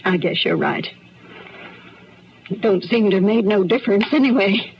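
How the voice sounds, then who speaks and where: A woman's voice: medium pitch, moderate pace, close to an everyday voice. A woman playing a proud, plain-spoken frontier farm wife with a rural American accent in an old radio western. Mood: resigned, earnest. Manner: plain-spoken, folksy, firm. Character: proud, strong-minded frontier farm wife fighting for her husband. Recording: muffled old radio broadcast, faint noise.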